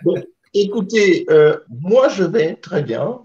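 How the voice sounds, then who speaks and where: A man's voice: high voice, big pitch swings, takes it slowly, darkish tone, dull audio. A man from Gabon, co-hosting a live interview with formal introductions and many hesitant fillers, speaking over a remote call. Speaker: co-host.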